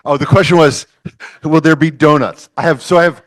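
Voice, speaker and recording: A man's voice: a little high, fairly even intonation, moderate pace, fairly bright tone. A man hosting a public science lecture, chatting casually with the audience and introducing the speaker. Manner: chatty, with fillers, jokes with the audience. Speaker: event host introducing a lecturer.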